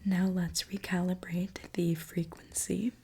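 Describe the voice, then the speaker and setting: A woman doing an ASMR roleplay as a gentle mechanic, speaking in a soft, reassuring, attentive way. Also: on the low side, little pitch movement, a little slow, gravelly, slight background noise. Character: gentle mechanic repairing a robot client. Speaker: ASMR creator.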